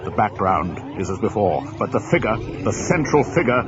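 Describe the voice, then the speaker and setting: Low, ominous horror narrator. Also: male voice, sing-song. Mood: ominous, grave. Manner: suspenseful, deliberate, literary phrasing. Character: grave, ominous male storyteller of a horror tale. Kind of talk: dramatic scripted horror narration, radio drama. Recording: dull, lo-fi, noisy radio drama recording.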